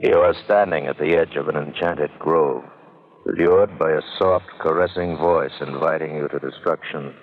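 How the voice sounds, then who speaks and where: A man's voice: rumbling low, normal speed, music in the background. A man announcing a 1940s radio drama in a portentous, dramatic manner. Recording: tinny old radio recording.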